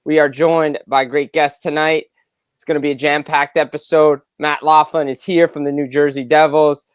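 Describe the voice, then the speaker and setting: A man's voice: a little high, level tone, normal speed, clean tone, no room sound. A man hosting a sports podcast in a casual, conversational style. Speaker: podcast host.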